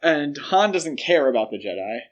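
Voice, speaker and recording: A man co-hosting a podcast, talking casually and explaining his measured opinions on pop culture. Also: fairly high pitch, sing-song, dull audio. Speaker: podcast co-host.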